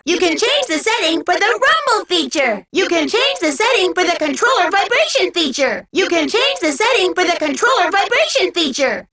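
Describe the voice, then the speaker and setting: A woman voicing a small, cheerful, childlike robot guide in a video game, giving perky, clearly spoken instructions. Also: very high voice, pushed and bright, heavily acted. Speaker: tutorial guide and menu announcer. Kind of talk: scripted video game prompts.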